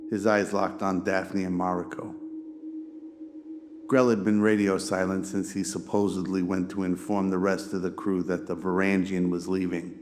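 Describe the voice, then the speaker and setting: A man's voice: deep voice, noisy background. A man narrating a scripted radio drama as its storyteller. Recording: music behind the voice.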